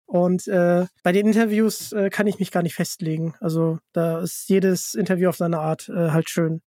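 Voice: mid-range voice, fairly even intonation, normal speed, dark tone, no room sound. Chatty podcast host, dry close sound. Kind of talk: casual podcast interview chat.

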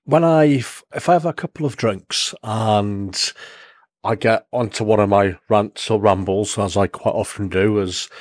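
A man's voice: lowish voice, measured pace, darkish tone, no room sound, studio quality. A man with a light North Welsh accent chatting casually on a podcast, rambling and blunt, swearing now and then. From North Wales.

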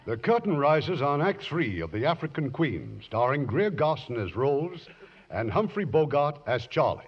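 A man narrates a radio play with dramatic 1950s delivery. His rather high voice moves expressively over clear music, on a dull old recording. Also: sing-song, normal speed. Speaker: host and narrator.

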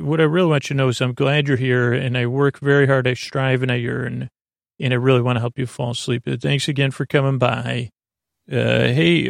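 A man hosting a bedtime podcast in a meandering, halting monologue, with a gravelly voice and a lulling, soothing manner. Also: medium pitch, dry recording, clean studio sound. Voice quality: gravelly, creaky. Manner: rambling, halting, self-interrupting. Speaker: podcast host.

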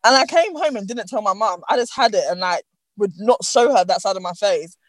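A woman's voice: mid-range voice, brisk, no echo. A young woman with a London accent, telling stories in slangy casual chat on a podcast. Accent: London English. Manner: expressive, slangy storyteller.

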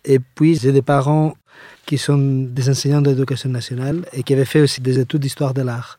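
Mellow Spanish-accented man in interview. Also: mid-range voice, little pitch movement, fairly quick. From Valencia area, Spain. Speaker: interviewee, engineer and architecture firm co-founder. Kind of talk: conversational interview answers.

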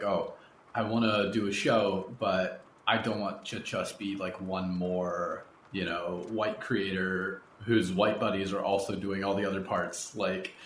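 Lowish-voiced man in an echoey room. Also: fairly bright tone. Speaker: podcast host, interviewer. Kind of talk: casual interview chat. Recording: echoey room, faint background noise.

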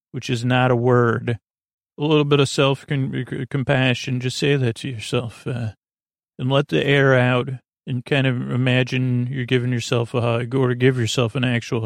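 A man with a creaky voice hosting a bedtime podcast in a soothing, halting, meandering monologue that keeps drifting into tangents. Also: medium pitch, no room sound, studio quality. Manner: meandering, halting, digressive, absent-minded. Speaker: podcast host.

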